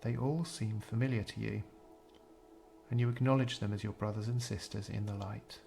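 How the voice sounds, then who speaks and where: A man narrating a scripted guided meditation in a measured, soothing, gentle way, pausing between phrases. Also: on the low side, fairly even intonation, creaky, soft background music.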